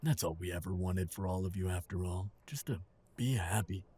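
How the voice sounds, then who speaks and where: A man acting a supportive older husband and father in an audio drama, steady, reassuring and given to gentle teasing. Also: low-pitched, a little fast, slight background noise, natural voice. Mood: tender.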